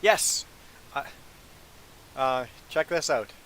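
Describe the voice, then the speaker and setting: A man with a mid-pitched voice chats casually as a live streamer. He sounds a little sniffly and rambles along in a conversational way. A faint hiss sits behind his voice. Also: extremely slow. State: sniffly nose from congestion. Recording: steady background hiss.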